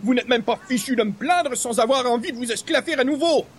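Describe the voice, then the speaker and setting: An actor playing a fussy, formal, put-upon gentleman with prim, very correct diction in a radio comedy. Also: very high-pitched, fairly quick, a little hiss or hum. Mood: indignant. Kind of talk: old-time radio comedy acting.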